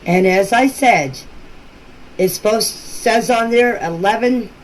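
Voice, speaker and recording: A woman with a slightly high voice talks informally, as if leaving voice messages on her phone. She explains in a halting way and often circles back to repeat herself. There is some faint noise in the recording. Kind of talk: informal voice messages to a group chat. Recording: noisy phone voice clips.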